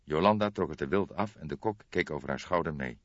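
A man's voice: a bass voice, a little fast. A man reading a crime novel as an audiobook narrator, in scripted storytelling style. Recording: dull, roomy audiobook recording.

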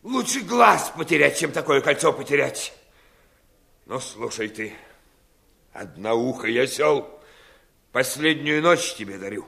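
An actor playing a menacing, commanding pirate chief with a gravelly voice in a fairy-tale audio play. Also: male voice, medium pitch, expressive, unhurried, long pauses, pushed and bright, exaggerated voice. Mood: threatening, angry.

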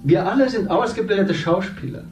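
A man's voice: sits high, lively intonation, a little fast, a little mellow. A man playing a naive actor cast as a government minister, talking earnestly and self-assuredly in a 1970s radio drama. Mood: proud. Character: male actor turned TV minister, eager, naive. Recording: roomy distant radio play with music.

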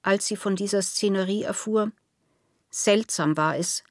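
A woman narrates literary prose in a scripted reading. Her pitch is average for a woman, and the sound is dry with no room around it. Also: a little slow, no room sound.

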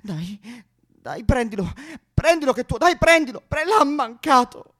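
Guy acting a bitter self-hating misanthrope, high voice, stagey monologue, swings between muttering and outbursts. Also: big pitch swings, normal speed, bright, forceful tone, heavily acted. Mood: agitated, spiteful. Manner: histrionic, swinging from muttering to outbursts with spitting noises. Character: bitter, self-loathing misanthropic man, gleefully spiteful. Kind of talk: theatrical comic monologue in Italian.

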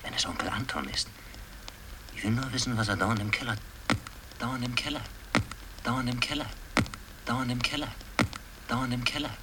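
An actor playing a bitter, cynical decorated soldier in a sardonic, brooding radio drama monologue, on a noisy old recording. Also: male voice, mid-range voice, moderate pace, pauses often, lightly acted. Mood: hushed, disgusted. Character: bitter, cynical war-decorated soldier, lover of a young woman. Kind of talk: 1960s German radio drama acting.

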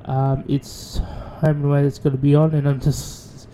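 A man with an Australian accent, rambling through a casual radio monologue, swearing freely and restarting his sentences. His voice is very dark and mellow in tone. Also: on the high side, level tone, a little slow, a little hiss or hum, poor audio. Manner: rambling, profane, many restarts. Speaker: radio show host.

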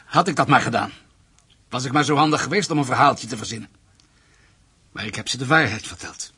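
Cornered informer for radio play: very husky man, mid register, earnest, subdued, resigned. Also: mid-range voice, brisk, bright, forceful tone. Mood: defensive, regretful. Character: anxious, resigned male informer pleading for his family. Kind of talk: radio drama acting.

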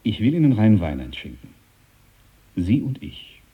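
A low-voiced, domineering naval captain. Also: male voice, sing-song, a little slow, slightly rough, phone-quality sound, full character voice. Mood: grave, suspicious. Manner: brusque, blunt, commanding. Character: stern, domineering, devout British naval captain. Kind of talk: radio drama dialogue.

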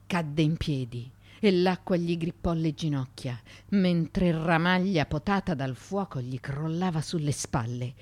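A woman giving an intense, theatrical literary reading for radio theatre, phrasing deliberately with pauses. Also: low-pitched, darkish tone, no echo. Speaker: actress reading literary monologue. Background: music.

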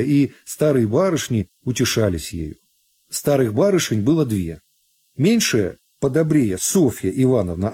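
A man narrating a literary story for a radio drama in a measured, phrase-by-phrase storytelling manner. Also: mid-range voice, warm tone, breathy edge. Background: music.

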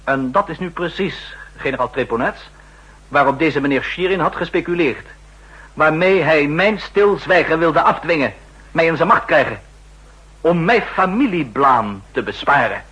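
An ambitious young assistant with a high, bright voice that swings widely in pitch and has a breathy edge. He argues earnestly and insistently, in the manner of 1960s radio drama. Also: animated, moderate pace, rough recording. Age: young adult.

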